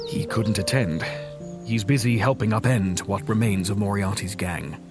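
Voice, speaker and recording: A man playing a gentle, grieving doctor in an audio drama, speaking earnestly and with measured care. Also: fairly low pitch, music in the background, close to an everyday voice. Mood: consoling, sad. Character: gentle, grieving Doctor Watson, caring male friend.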